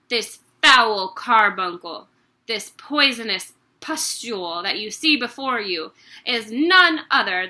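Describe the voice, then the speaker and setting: A woman playing a bellowing tyrant headmistress. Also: fairly high pitch. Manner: barking, shouting insults. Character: bullying furious woman headmistress, huge and tyrannical.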